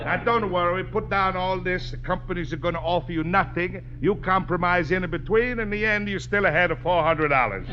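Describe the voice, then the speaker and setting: An actor playing a gleeful, conniving Italian immigrant who wheedles in broken English with an Italian accent in a radio comedy. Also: male voice, high voice, a little fast, hardly any pauses, lightly acted. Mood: slyly persuasive. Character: scheming, gleeful Italian immigrant man, conniving false friend. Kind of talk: 1950s radio comedy acting. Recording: noisy, dull old radio recording.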